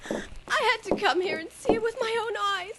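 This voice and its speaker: Woman playing a very high-pitched, overwrought flapper. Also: normal speed, very noisy. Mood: tearful, amazed. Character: overwrought young flapper fiancée. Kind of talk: 1940s radio acting.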